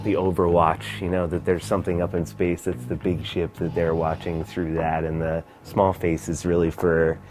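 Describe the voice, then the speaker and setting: A man, a sculptor, talking casually in an interview, relaxed and musing. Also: deep voice, normal speed, hardly any pauses, soft background music. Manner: relaxed, musing, laughs easily.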